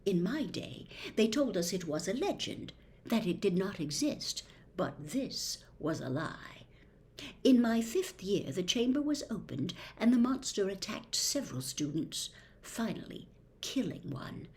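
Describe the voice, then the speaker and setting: A woman voicing the persuasive memory of a young man trapped in a diary, speaking in a hushed, half-whispered way. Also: medium pitch, very animated, a little slow. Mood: secretive. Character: young male phantom in a diary, secretive and persuasive.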